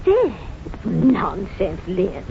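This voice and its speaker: A woman's voice: mid-range voice, wildly swooping pitch, drawn-out words, creaky, dull audio, a little hiss or hum, heavily acted. An actress playing a kindly, trusting, easily flustered old grandmother in folksy 1940s radio drama style, on an old radio recording. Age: older. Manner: folksy, dismissive, fussing.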